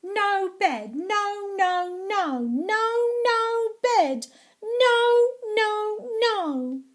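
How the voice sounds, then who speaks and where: Stroppy storybook little girl, played by a woman: high, loud, whiny, swooping through tantrums. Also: lively intonation, very slow, exaggerated voice. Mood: furious, defiant. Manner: shouting, whiny, dragging out words.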